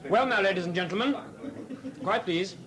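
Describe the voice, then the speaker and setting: An actor playing a brisk, orderly police officer giving instructions in a 1970s radio drama. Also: male voice, sits high, a crowd in the background, close to an everyday voice. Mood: brisk, commanding. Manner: emphatic, orderly, commanding. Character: brisk police officer addressing an assembled group.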